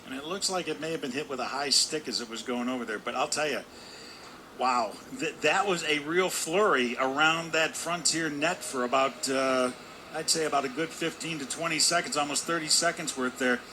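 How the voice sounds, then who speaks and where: A man giving conversational hockey analysis between plays, with halting, folksy phrasing. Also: a little high. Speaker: hockey commentator. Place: indoor ice hockey arena. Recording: loud ambient rink noise.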